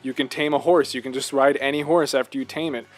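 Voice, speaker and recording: A man's voice: mid-range voice, fairly even intonation, quick pace, soft background music. A man co-hosting a casual video game chat, gushing with enthusiasm and rambling from thought to thought. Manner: rambling, jumps between thoughts. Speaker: podcast host.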